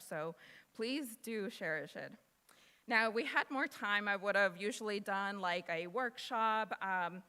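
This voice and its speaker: A woman's voice: medium pitch, sharp tone. A young woman in her twenties, Spanish her first language, giving an earnest, casual motivational speech to students. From United States. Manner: earnest, casual with fillers and laughs. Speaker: guest speaker. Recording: long hall reverb.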